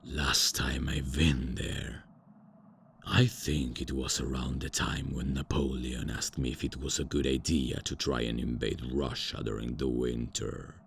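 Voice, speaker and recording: A pompous ancient vampire lord, voiced by a man in an audio drama, with an extremely deep voice and a gravelly rasp. He talks very slowly and grandly, as if every word matters. Faint ambience sits behind him. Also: a little hiss or hum, full character voice. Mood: smug. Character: old arrogant male vampire lord, pompous. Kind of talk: ASMR roleplay audio drama.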